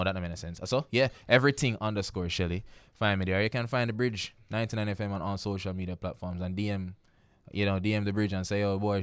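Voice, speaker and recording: A man's voice: low-pitched, clear, resonant tone, dry recording. A man with a Jamaican accent hosting a casual radio talk show, who keeps repeating himself and asking "you understand me?".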